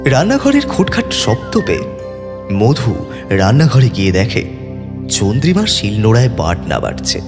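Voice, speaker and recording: A man narrating an audio story in a dramatic, scripted style with expressive pauses. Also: medium pitch, in a large hall. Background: clear background music.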